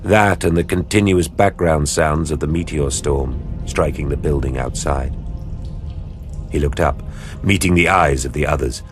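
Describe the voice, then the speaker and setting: A man narrating an audio drama in a measured, grave storytelling style. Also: a bass voice. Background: music.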